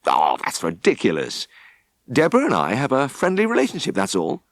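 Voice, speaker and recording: A man's voice: mid-range voice, huge pitch swings, brisk, no echo, voice pushed up. Man doing a flustered young lad in an audiobook, breezy but defensive, very swoopy voice, hesitant, dry sound. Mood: indignant.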